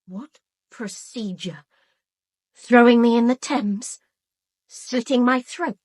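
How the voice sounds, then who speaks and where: An actress playing a wary, reflective young British woman in a radio drama, speaking in a measured, serious way. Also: fairly high pitch, a little mellow, dry recording. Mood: wary, challenging. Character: wary young woman, a minister's daughter, reflective and quietly challenging. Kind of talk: radio drama acting with first-person narration.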